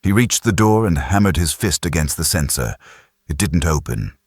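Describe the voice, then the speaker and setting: A man's voice: low voice, lively intonation, normal speed, slightly rough, no room sound, studio quality. A man narrating a sci-fi audiobook in a grave, dramatic, suspenseful storytelling style.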